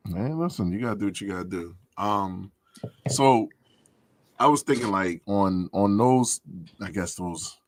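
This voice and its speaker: A joking podcast co-host with a low voice, talking in loose slang and cursing freely. His voice is recorded close and dry, with no room sound, and he laughs a lot between lines. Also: a little slow, no room sound. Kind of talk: casual podcast chat.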